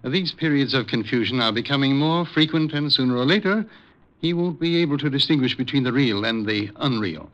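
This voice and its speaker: Man playing a formal radio-drama doctor, mid pitch, bright firm tone, precise phrasing, dull vintage recording. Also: expressive, brisk, natural voice. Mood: measured, serious. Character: educated, patient, dryly amused male psychiatrist. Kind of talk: 1950s radio drama acting.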